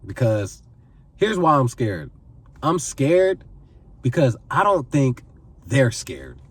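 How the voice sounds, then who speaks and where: Man in his 20s, husky voice with a wide swing in pitch, earnest repetitive advice-giver. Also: sing-song, takes it slowly, far from the mic. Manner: pleading and repetitive, repeats phrases for emphasis. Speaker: vlogger giving life advice. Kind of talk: earnest direct-to-camera advice.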